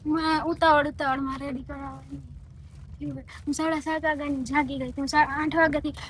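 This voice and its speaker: A woman's voice: high voice, little pitch movement, brisk, a little hiss or hum. A young woman vlogger. She talks casually and chattily, with a teasing streak. English words slip into her everyday chat.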